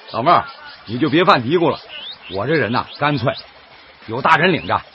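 An actor playing an earnest, stubborn, cheerful laid-off worker in a radio drama, coaxing and folksy, with a Northeastern Chinese accent. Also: male voice, medium pitch, animated, normal speed, faint music behind, close to an everyday voice. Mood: cheerful, bustling. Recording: dull lo-fi audio, loud background noise.

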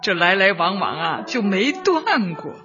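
An actress in a radio drama playing a warm, devoted elderly woman community secretary who talks affectionately and emphatically. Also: mid-range voice, sing-song, unhurried. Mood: tender, wistful. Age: older. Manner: affectionate, emphatic, sighing interjections. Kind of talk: Chinese radio drama acting. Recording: muffled, music behind.

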